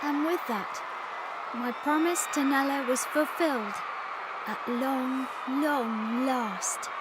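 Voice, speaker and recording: A woman's voice: high voice, slow, heavy background noise. An actress playing a sincere, wide-eyed young boy king in a video game. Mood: solemn, moved.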